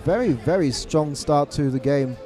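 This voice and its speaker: High-voiced male esports caster. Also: over music. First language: English. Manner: quick self-corrections, stressed repeated words. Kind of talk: live game commentary. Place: event venue abroad.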